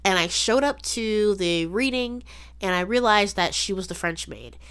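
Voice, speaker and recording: A woman's voice: medium pitch, lively intonation, normal speed, fairly bright tone, smooth. A young adult woman hosting a podcast solo, chatting casually and emphatically, often repeating words and saying "like". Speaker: podcast host.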